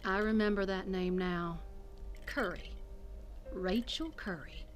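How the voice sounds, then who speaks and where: A woman's voice: medium pitch, slow pace, natural voice. A woman playing an imperious, pious public figure in an audio drama, with a haughty, clipped delivery. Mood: cold, indignant. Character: pious, imperious, hard-line anti-robot female public figure. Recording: loud music under the voice.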